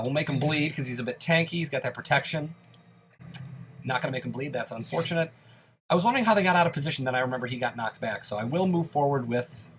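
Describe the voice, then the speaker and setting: A man's voice: fairly high pitch, a bit bright, slight background noise. A young man giving casual, self-deprecating play-by-play commentary on a dungeon game while a bit sick. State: slightly sick, occasional coughs. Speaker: gaming YouTuber.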